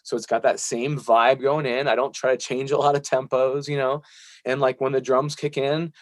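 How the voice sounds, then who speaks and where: A man's voice: mid-range voice, little pitch movement, normal speed, dry recording. A man being interviewed as a musician, chatting casually in a rambling, self-deprecating way.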